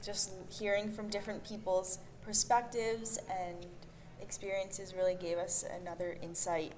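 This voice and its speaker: A woman's voice: medium pitch, flat delivery, normal speed, darkish tone, a little hiss or hum, rough recording. Woman host reading a podcast outro. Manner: friendly, read-aloud.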